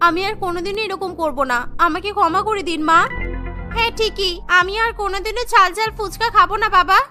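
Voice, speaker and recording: A woman's voice: very high voice, steady tone, a little fast, music in the background. A woman voicing a remorseful young housewife in a cartoon, contrite and earnest. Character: remorseful young housewife apologising to her mother-in-law.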